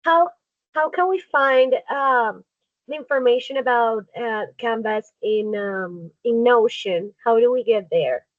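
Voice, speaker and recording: A woman hosting a video call, speaking slowly and warmly encouraging the audience, with laughs. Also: a little high, dry recording. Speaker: host. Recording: tinny dry phone-like line.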